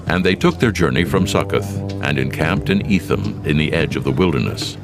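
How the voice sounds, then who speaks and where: A man narrating a dramatized scripture reading in a slow, solemn, measured way. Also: very deep voice, expressive. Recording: narration over music.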